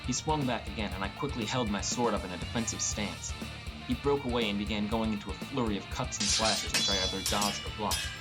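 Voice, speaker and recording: Low-voiced man narrating over clear guitar music. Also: a little fast. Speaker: audiobook narrator. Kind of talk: first-person scripted fantasy narration. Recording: music bed under voice. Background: prominent plucked guitar music.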